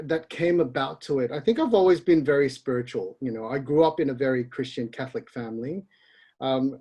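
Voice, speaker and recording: Husky middle-aged man, chatty and laughing. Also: mid-range voice, mellow. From Malaysia. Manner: laughs often, rambling and open. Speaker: founder being interviewed. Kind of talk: casual interview chat.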